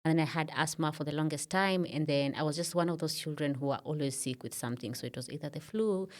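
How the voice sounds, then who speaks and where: A woman's voice: low voice, quick pace, darkish tone. A Kenyan woman around thirty, a podcast guest in a studio, telling her story in a reflective way with many fillers. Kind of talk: casual interview talk.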